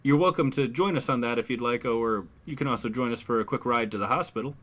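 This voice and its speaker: A man's voice: mid-range voice, fast talker, a bit bright, natural voice. A man playing a wry, weary marine squad leader in a tabletop game, talking in a dry, diplomatic way. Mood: measured. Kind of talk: tabletop roleplay acting.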